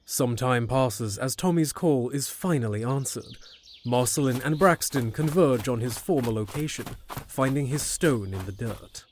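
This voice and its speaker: A man's voice: medium pitch, lively intonation, unhurried, darkish tone, slight background noise. A man narrating a scripted fantasy audio drama in a descriptive storytelling manner.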